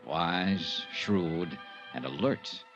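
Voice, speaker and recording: An actor playing a tough, wry insurance investigator, clipped and sardonic, acting and narrating in an old-time radio drama. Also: male voice, low-pitched, drawn-out words, fairly bright tone, faint music behind, exaggerated voice. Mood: brooding, wry. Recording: dull vintage radio recording.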